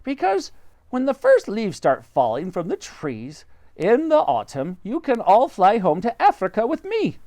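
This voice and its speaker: A man voicing a kindly, chatty bird who talks in a bright, reassuring way. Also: high voice, huge pitch swings, unhurried, no room sound, voice pushed up, exaggerated voice.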